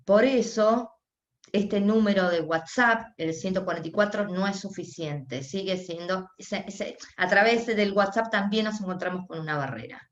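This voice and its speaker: A woman with an Argentine accent gives live spoken interpretation for a deaf panelist at a discussion. She speaks haltingly, often pausing and restarting. The recording sounds roomy and muffled, like lo-fi audio from an online talk. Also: medium pitch. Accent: Argentine Spanish. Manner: halting, with frequent restarts and pauses while interpreting. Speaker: sign language interpreter voicing a deaf panelist.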